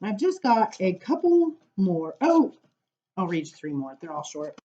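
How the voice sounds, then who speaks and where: A woman reading poems aloud to children as a storytime librarian, with animated, sing-song emphasis and casual asides. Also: mid-range voice, measured pace, lo-fi sound.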